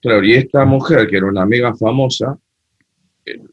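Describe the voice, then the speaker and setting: A man with an Argentine accent, a guest telling animated, opinionated stories in a casual interview chat. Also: mid-range voice, a little fast, husky, no echo, lo-fi sound. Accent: Argentine Spanish. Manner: animated storyteller, frank and opinionated. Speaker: guest, cumbia festival creator.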